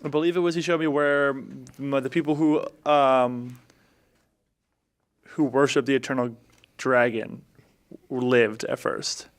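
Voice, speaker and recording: A man with a very clean, smooth voice gives a casual recap of a tabletop game adventure. He speaks haltingly, feeling around for details as he goes, like a player on a podcast. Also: mid-range voice, flat delivery. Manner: halting, searching for memory.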